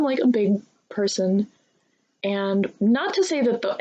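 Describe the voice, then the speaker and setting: A woman talks to her viewers in a casual, confessional vlog style, at a middling pitch. She rambles conversationally, often slipping into little reenactments of what people say to her. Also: darkish tone, dull audio. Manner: rambling, conversational, frequently acts out imagined dialogue. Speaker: vlogger.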